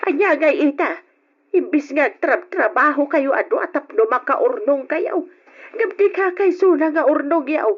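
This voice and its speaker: A woman's voice: high-pitched, expressive, unhurried. A woman playing a scolding, worried mother of a grown son in an old radio drama, nagging and admonishing as she talks. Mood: scolding, pleading. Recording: dull, lo-fi old radio recording.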